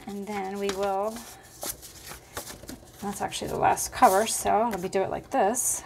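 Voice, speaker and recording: A woman hosting a casual crafting tutorial at her table, chatty and thinking aloud with lots of fillers, her voice slightly hoarse. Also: medium pitch, little pitch movement, a little slow, background noise.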